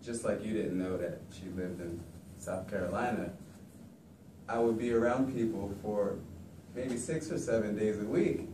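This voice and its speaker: A young man teaching about capoeira in a relaxed, conversational way, recorded from across a classroom. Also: lowish voice, normal speed. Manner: relaxed, conversational, frequent "you know". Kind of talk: informal classroom talk. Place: large classroom, indoors. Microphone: far camera. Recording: echoey room, distant mic, background noise.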